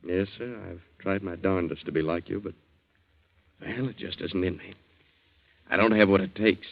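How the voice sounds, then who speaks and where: Casting: down-on-his-luck drifter, low voice, loose sardonic delivery, muffled vintage radio drama. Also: fairly even intonation, normal speed. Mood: rueful. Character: down-on-his-luck, self-pitying, breezy drifter brother. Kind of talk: 1940s radio thriller acting. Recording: muffled 1940s radio recording.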